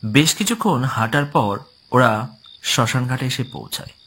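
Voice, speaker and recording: A man's voice: mid-range voice, very animated, moderate pace, fairly bright tone, breathy edge. Man narrating a spooky cartoon tale. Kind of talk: scripted storytelling narration.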